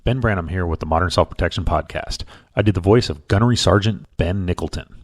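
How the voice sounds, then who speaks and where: A man's voice: sits low, fast talker. A man, a podcast host, reading a scripted promo.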